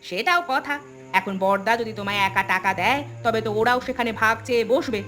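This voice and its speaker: A woman playing a sulky, sharp-tongued, shrewd village wife in a cartoon, talking in a scolding, questioning, colloquial way. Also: on the high side, fairly quick, lightly acted. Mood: scheming. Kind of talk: cartoon dialogue. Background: music.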